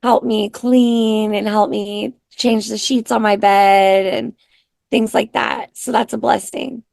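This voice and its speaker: A raspy-voiced woman, a singer-songwriter guest, talking in an unhurried, soft-spoken way with many pauses. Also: medium pitch, steady tone, dry recording. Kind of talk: casual interview chat.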